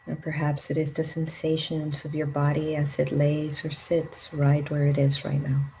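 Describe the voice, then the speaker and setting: Low, mellow woman guiding meditation. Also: slight background noise. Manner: calm, unhurried, gentle, with long pauses. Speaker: meditation guide. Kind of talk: guided meditation, slow spoken instructions.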